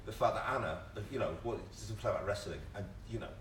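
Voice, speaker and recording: A man's voice: on the low side, fast. A British man speaking off the cuff on a panel, emphatic and colloquial, often stammering over repeated words as he makes his case. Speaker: panellist, theatre writer and director. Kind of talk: impassioned unscripted panel talk. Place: indoor panel event at a theatre. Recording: roomy, distant mic, background noise.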